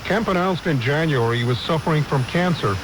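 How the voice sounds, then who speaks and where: A man's voice: fairly high pitch, expressive, brisk. An American man reading scripted news as a radio anchor on a hissy recording. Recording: hissy, noisy radio aircheck.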